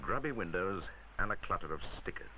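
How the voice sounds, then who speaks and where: Male, British, very low pitch, bright forceful tone, wry and emphatic, scripted training-film narration, 1960s soundtrack. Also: expressive, a little fast, slight background noise, poor audio.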